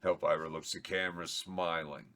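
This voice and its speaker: A man's voice: deep voice, little pitch movement, normal speed, pushed and bright, a little hiss or hum. A man reading the stage directions aloud at a table read, in a plain matter-of-fact way.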